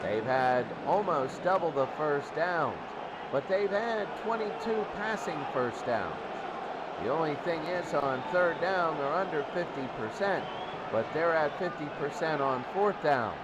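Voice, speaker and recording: Male sports commentator, fairly high-pitched, unscripted stat rundown, very noisy recording. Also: expressive, measured pace. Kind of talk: unscripted statistics rundown before a game.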